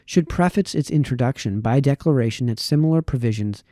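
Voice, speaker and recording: A man reads formal, old-fashioned prose aloud. His voice is mid-pitched and warm, with a relaxed, mellow tone. He phrases deliberately, occasionally tripping on a word, and the sound is dry with no room echo. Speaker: reader, host of a reading series. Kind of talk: reading aloud formal historical essays.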